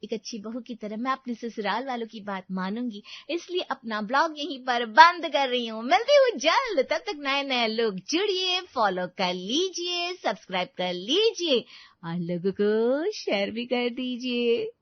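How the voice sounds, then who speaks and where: Bubbly young bride vlogger in a cartoon, high and upbeat woman doing her, dry dull sound. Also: big pitch swings, unhurried, dry recording. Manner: bubbly, talking to camera.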